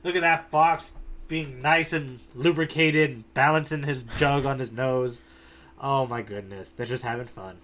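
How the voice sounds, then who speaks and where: A man's voice: mid-range voice, fairly even intonation, unhurried, a bit bright, a little hiss or hum. A man co-hosting a podcast in casual comedic chat, wryly riffing on jokes as he goes. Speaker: podcast co-host.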